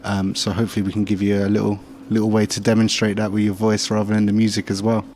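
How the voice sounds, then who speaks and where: A man's voice: lowish voice, completely flat delivery, quick pace, darkish tone, faint music behind. A man hosting a show in a casual, conversational way. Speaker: show host and music producer. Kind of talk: casual chat. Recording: faint background noise.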